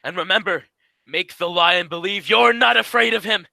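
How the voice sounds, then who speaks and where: A man's voice: high voice, normal speed, vocal fry, sharp tone, no room sound, full character voice. A man playing a bossy, cajoling, self-serving straight man in a vintage-style comedy radio double act. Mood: goading.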